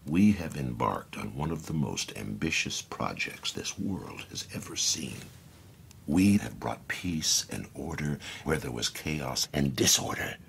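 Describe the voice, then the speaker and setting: An actor playing a solemn, pious commander of an oppressive regime in an audio drama, speaking in a slow, ceremonial, declamatory way. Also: male voice, rumbling low, very animated, creaky, a bit bright, a little hiss or hum, full character voice. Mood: grandiose.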